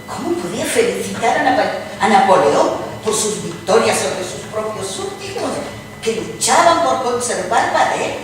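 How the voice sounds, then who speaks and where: A woman's voice: mid-range voice, expressive, moderate pace, close to an everyday voice. Woman as genteel lady in an echoing hall. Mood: indignant, puzzled. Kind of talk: dramatized reading dialogue. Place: live reading in a reverberant venue. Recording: echoey hall from far off, clear noise.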